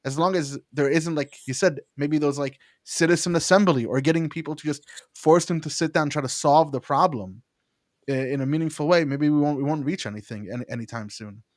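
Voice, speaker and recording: A man's voice: fairly high pitch, dry recording, studio quality. A man from Israel hosting a podcast, talking casually and using lots of "like". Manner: quick, uses filler "like" often. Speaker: podcast host.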